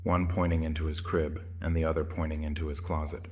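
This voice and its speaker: Very deep, even male story narrator. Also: brisk, muffled recording. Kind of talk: scripted horror story narration.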